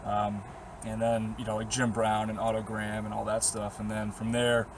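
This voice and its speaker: Slightly hoarse young man, rambling easily. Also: fairly low pitch, completely flat delivery, moderate pace, noisy background. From Boston area. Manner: rambling, easygoing, with short laughs and many fillers. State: slightly hoarse, losing his voice. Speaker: vlogger answering viewer questions. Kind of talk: casual chat to camera. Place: inside his parked camper van.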